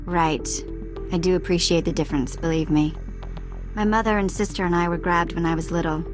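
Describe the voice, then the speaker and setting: An actress playing a streetwise young former slave in a sci-fi game, talking in a casual, wry, guarded way. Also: female voice, fairly low pitch, steady tone, a little fast, music in the background, lightly acted. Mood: wry, reflective. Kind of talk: naturalistic sci-fi video game acting.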